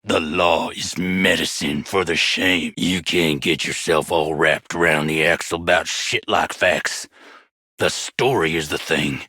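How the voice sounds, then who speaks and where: A man's voice: sits low, steady tone, a little slow, sharp tone, breathy edge, no room sound, studio quality, heavily acted. An actor playing a sadistic, self-righteous Southern lawman in a video game, with a folksy, preachy, menacing way of talking and a foul mouth. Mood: smug, folksy menace. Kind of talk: villain monologue voice lines for a game.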